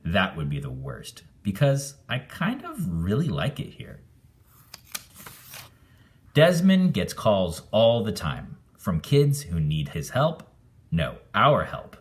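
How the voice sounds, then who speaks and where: A man reading a children's story aloud in an expressive, animated way, acting out the narration. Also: on the low side, slow. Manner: animated, acts out the dialogue. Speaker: storybook reader.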